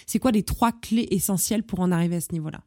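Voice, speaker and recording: A woman's voice: lowish voice, fast, dark tone, dry recording, clean studio sound. A woman interviewing a guest casually, encouraging him with frequent short agreements.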